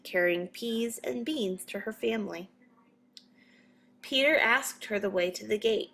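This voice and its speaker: A woman reading a children's story as a narrator, in a measured but expressive storytelling manner. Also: medium pitch. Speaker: storybook reader.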